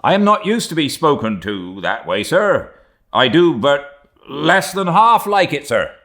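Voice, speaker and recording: A man voicing an indignant, bewildered sailor, a second mate in a sea novel, with an excitable, colloquial, muttering way of talking. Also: on the low side, slow, pitched above the performer's own voice, exaggerated voice.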